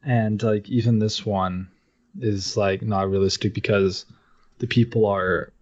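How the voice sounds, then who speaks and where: A man's voice: on the low side, steady tone, measured pace, darkish tone. A man co-hosting a podcast in a casual chat, talking informally and swearing freely. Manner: casual, profane. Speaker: podcast co-host. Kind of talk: casual chat about games. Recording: dull lo-fi audio.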